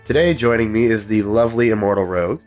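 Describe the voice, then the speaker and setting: Low-voiced congested male game streamer. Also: fast, soft background music. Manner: soft-spoken, many ums, reads game text aloud. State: stuffed up with a cold. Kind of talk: casual live game commentary.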